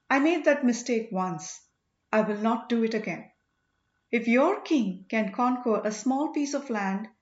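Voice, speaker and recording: A proud, strong-willed young princess acted by a woman reader speaks in a fairly high voice. She is indignant yet devoted, in audiobook dialogue recorded in an echoey room with slightly dull sound. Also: natural voice.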